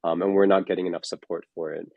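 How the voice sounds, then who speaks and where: A man's voice: low-pitched, level tone, fast talker, creaky, no room sound, narrow, tinny audio. A man in his forties, a fitness coach, talking in a casual chat, rambling with lots of fillers and restarts. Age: 40s.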